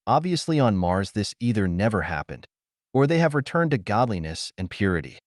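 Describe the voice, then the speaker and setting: A man's voice: fairly low pitch, sing-song, a little fast, no echo. A man voicing a cool, unflappable young man in an audiobook, nonchalant and dry-witted. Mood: agreeable.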